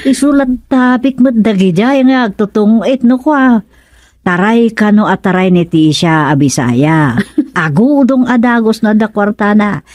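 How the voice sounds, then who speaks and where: A woman's voice: medium pitch, measured pace, no room sound, natural voice. A woman playing a catty, outspoken village gossip in a radio comedy drama, with an Ilocano accent and a mocking manner. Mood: mocking, gossipy. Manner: animated, mocking.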